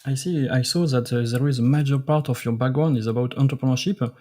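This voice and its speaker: French-speaking host, mellow voice. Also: male voice, mid-range voice. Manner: careful, slightly halting non-native phrasing. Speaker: podcast host. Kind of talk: interview questions.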